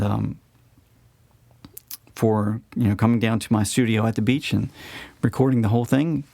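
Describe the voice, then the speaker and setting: A man's voice: on the low side, warm tone, dry recording. A man speaking casually and earnestly as an interviewed author, offering thanks. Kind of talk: casual conversational talk.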